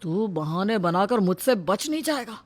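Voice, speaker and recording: A performer voicing a tyrannical middle-aged father who is domineering, threatening and quick to shout in a melodramatic story. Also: mid-range voice, big pitch swings. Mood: furious. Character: tyrannical, short-tempered middle-aged father and shop owner. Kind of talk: melodramatic audio story dialogue.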